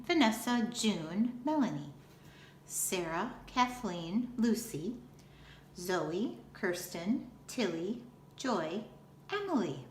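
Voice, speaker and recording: A woman in her fifties, a teacher reading a children's picture book aloud in an expressive, deliberate way. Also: mid-range voice. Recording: roomy echo, faint background noise.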